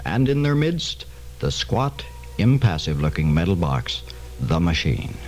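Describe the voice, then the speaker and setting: A man's voice: low voice, very animated, measured pace. A man narrating an old-fashioned radio drama in a dramatic, scripted style. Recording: noisy old tape with hum.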